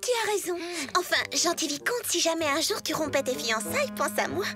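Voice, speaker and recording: A woman's voice: high-pitched, animated, fairly quick, over music. A woman voicing a genteel, lovelorn young ghost viscountess with formal, old-fashioned manners in a French children's cartoon. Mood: wistful, gracious.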